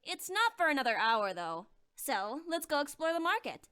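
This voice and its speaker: High-pitched, cute young woman. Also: very animated, normal speed, fairly bright tone. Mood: upbeat. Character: cute, easily flustered young woman at a convention. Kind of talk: voiced visual novel game dialogue.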